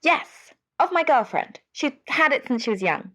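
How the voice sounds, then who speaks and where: A woman's voice: high-pitched, big pitch swings, moderate pace, sharp tone, full character voice. A woman playing an anxious, devoted caller to a radio advice show in an audio drama, hesitant and earnest. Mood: worried. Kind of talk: scripted audio drama phone-in.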